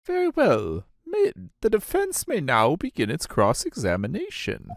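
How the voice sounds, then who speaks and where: A man's voice: fairly high pitch, huge pitch swings, measured pace, vocal fry, slight background noise, pitched above the performer's own voice, full character voice. A man voicing a flustered, dim old male judge while reading a video game aloud. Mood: formal. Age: older.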